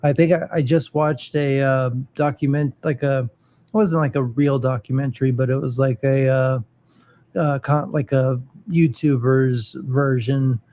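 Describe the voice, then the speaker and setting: Mellow, slow-talking man, hesitant and casual. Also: medium pitch, fairly even intonation. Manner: hesitant, halting, restarts often. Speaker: podcast co-host. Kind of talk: casual chat.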